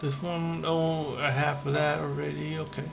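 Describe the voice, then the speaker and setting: A man's voice: on the high side, little pitch movement, normal speed, vocal fry, music in the background, low-quality recording. Rambling man streaming casual gameplay. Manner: hesitant, rambling, repeats his words.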